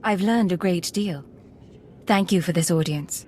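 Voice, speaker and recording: A woman's voice: fairly low pitch, unhurried, a little mellow, a little hiss or hum, lightly acted. Actress as cool, controlled secret agent woman. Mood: deferential. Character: cool, self-assured female imperial secret agent. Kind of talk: video game voice acting.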